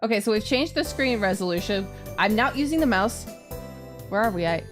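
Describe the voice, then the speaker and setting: A woman's voice: medium pitch, expressive, a little fast. A woman streaming a game with casual, exclamatory live commentary, often repeating her phrases. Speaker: streamer playing a visual novel. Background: game music.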